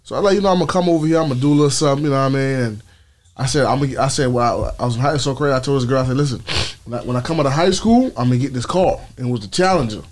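A man's voice: mid-range voice, very fast. A young man from the Bahamas, a guest chatting casually in a podcast studio on a studio mic, laid-back and often saying "you know what I mean". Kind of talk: casual chat. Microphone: studio mic with headphones.

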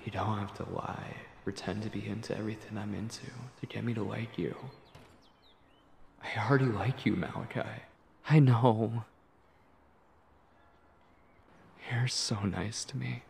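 A man playing a nervous, lovestruck, obsessive young man in an intimate ASMR roleplay, stammering and muttering to himself in a breathy, husky voice. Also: on the low side, fairly even intonation, normal speed, darkish tone, full character voice. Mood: delighted, tender.

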